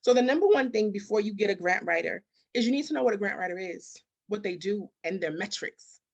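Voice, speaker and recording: A woman's voice: medium pitch, lively intonation, brisk, gravelly, lo-fi sound. A woman speaking as a guest expert in a conversational interview, emphatic, firing off rhetorical questions and acting out bits of dialogue. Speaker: interview guest, business consultant and grant expert.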